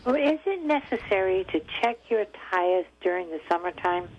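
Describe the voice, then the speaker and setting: A woman calling in to a radio show, chatting in an animated way over the phone. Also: medium pitch, normal speed, a little hiss or hum. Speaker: caller. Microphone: telephone. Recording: muffled phone line.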